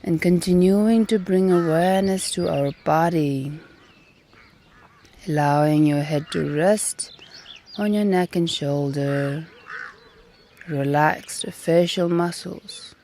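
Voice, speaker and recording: A woman guiding a meditation in a calm, gentle manner, leaving long pauses between short phrases. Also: lowish voice, slight background noise. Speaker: meditation and yoga teacher. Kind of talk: guided meditation instruction. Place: outdoors in nature. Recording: outdoor recording with birdsong behind. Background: birdsong and natural ambience.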